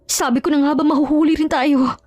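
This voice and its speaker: Guilty mistress for audio drama, acted by a female narrator: high voice, timid, fretful, dry sound. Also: fairly even intonation, a little fast, a little mellow, no echo, faint music behind, close to an everyday voice. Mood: distressed. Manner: apologetic, fretful. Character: husband's mistress, young mother, timid, guilty, tearful.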